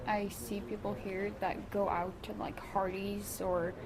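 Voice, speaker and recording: A woman's voice: medium pitch, flat delivery, unhurried, rough recording. Norwegian teen girl, norwegian accent, chatty, crowd noise behind. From Norway. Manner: chatty, filler-heavy. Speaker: exchange student.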